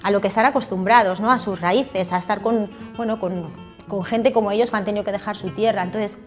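A woman's voice: mid-range voice, fairly quick, faint music behind. A woman in her thirties from Catalonia, a flamenco club member, chatting loosely in a documentary interview inside a club hall. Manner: chatty, many fillers.

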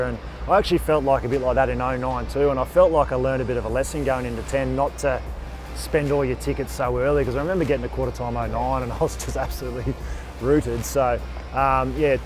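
Brief: Australian man in his 40s, ex-athlete guest, thoughtful and relaxed, mid-pitched, outdoors in a stadium, music under. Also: poor audio. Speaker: guest, former player. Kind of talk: reflective interview. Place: outdoors in an empty stadium stand.

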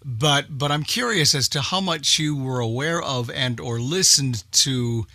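A man's voice: mid-range voice, measured pace, sharp tone, no room sound. A man interviewing in a casual, chatty way on a video call.

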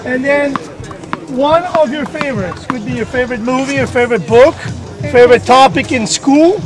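A man's voice: very high-pitched, normal speed. A man coaching a group of children on outdoor tennis courts, upbeat and coaxing, recorded from a distance with music and a crowd behind. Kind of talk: giving instructions to a group of children.

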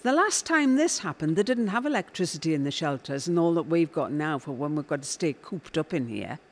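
A woman's voice: low-pitched, animated, brisk. A middle-aged woman with a Northern English accent playing a chatty, teasing, fretful mum in a live audio drama. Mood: chatty, earnest. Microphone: handheld-style mic on a stand.

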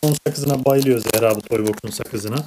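A woman's voice: extremely low pitch, fast, heavy background noise. A woman narrating a casual toy unboxing for children, as a parent chatting with a child indoors at a table.

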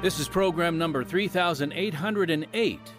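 A man announcing a radio program in polished, measured scripted narration. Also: on the high side, over loud music.

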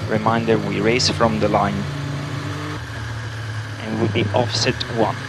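A man's voice: lowish voice, little pitch movement, unhurried, creaky. Male race engineer, terse and businesslike instructions, heavily noisy motorsport radio. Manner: clipped, businesslike. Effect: team radio. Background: car engine noise.

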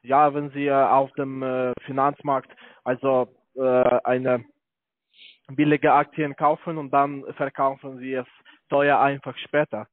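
A man with a foreign accent, not a native speaker, making a hesitant telesales pitch for investments. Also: level tone, dry recording. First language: not German. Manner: halting, many hesitations. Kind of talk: phone sales pitch. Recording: tinny dry phone line.

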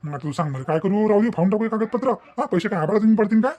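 Man acting a high-voiced, rapid-fire village husband. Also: lively intonation, a little mellow. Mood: irritated. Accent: rural Vidarbha Marathi. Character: exasperated young village husband planning a shop. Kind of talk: Marathi cartoon family-drama dialogue.

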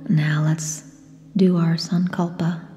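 A woman with a soft, breathy, whispery voice leads a guided meditation in short, gentle phrases with long pauses. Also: low voice, little pitch movement. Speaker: yoga nidra guide. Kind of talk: guided meditation, slow and soothing. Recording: long reverb, soft music behind. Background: sound bath music.

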